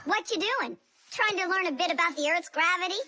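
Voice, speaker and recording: High, bright, bossy little cartoon girl. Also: a little fast. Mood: teasing. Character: bossy, quick-witted little girl fixie, a tiny cartoon creature. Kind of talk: animated cartoon voice acting.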